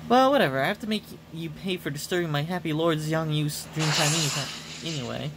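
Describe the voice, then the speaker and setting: A man's voice: fairly high pitch, brisk, very noisy, lightly acted. Man playing a haughty, irritable noblewoman. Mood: scornful. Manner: haughty, dismissive. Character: haughty, irritable woman devoted to her beloved lord.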